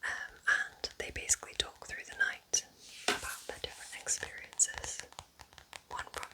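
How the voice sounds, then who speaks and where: A woman whispering gently and unhurriedly in a breathy, chatty ASMR style as she recommends books. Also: moderate pace, low-quality recording. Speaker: ASMR video creator playing a kind witch.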